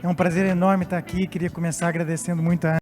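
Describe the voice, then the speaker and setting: A man's voice: high-pitched, flat delivery, racing, a little mellow. A man in his late fifties from Portugal, an executive giving a semi-formal, somewhat hesitant speech on stage in a reverberant hall with music behind. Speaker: company executive, guest speaker. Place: stage at a live event.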